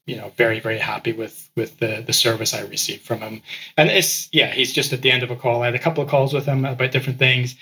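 A man with a bright, forceful voice speaks as a podcast guest. He grew up in Ecuador and tells his story casually, stumbling into small repeats as he goes. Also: medium pitch, rough recording. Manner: frequent word repeats and restarts. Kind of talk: conversational interview storytelling.

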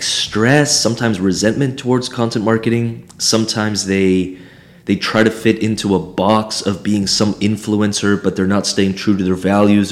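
Hoarse low-voiced man, co-hosting. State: hoarse, losing his voice. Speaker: podcast co-host. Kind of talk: casual podcast talk.

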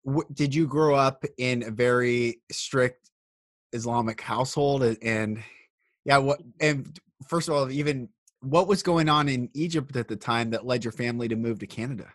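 A man hosting a spiritual podcast, speaking earnestly and slowly as he introduces and questions his guest. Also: medium pitch, dry recording, studio quality. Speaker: podcast host.

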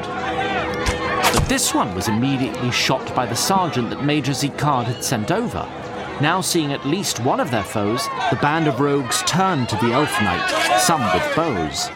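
Animated male storyteller over loud music. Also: medium pitch. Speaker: narrator of a fantasy audio drama. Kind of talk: scripted dramatic storytelling narration.

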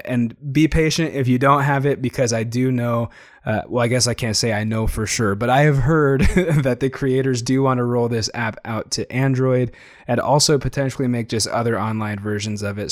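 A young man hosting his own podcast, talking casually and eagerly with a slightly hoarse, tired voice. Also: medium pitch, no echo, clean studio sound. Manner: chatty, enthusiastic, frequent fillers. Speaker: podcast host. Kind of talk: casual solo podcast monologue.

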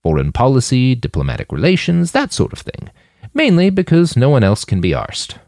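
Audiobook role: elderly matriarch played by a male narrator, wry, matter-of-fact, measured, creaky, close dry sound. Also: medium pitch, wildly swooping pitch, darkish tone, dry recording, pitched above the performer's own voice. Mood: wry, frank. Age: older. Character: eldest old woman leader, wry, blunt, matter-of-fact grandmother.

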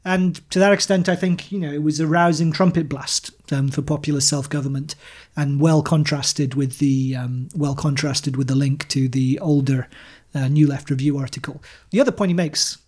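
A man co-hosting a podcast, discussing politics in a measured, hesitant way with many pauses. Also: fairly high pitch, steady tone, a little mellow. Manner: measured, hesitant, lots of ums. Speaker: podcast co-host.

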